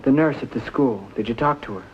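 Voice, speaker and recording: A man's voice: mid-range voice, brisk, lightly acted. A young man playing a plainspoken, bossy but caring teenage big brother in a 1950s TV drama. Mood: earnest. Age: teens. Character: protective, scolding sixteen-year-old big brother. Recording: muffled vintage soundtrack, very noisy.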